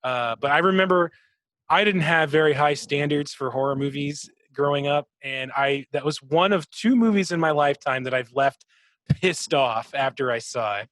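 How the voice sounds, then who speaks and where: A man's voice: a little high, moderate pace, fairly bright tone, no echo. A man co-hosting a podcast in casual chat, talking in an opinionated, emphatic way with plenty of swearing. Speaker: podcast co-host.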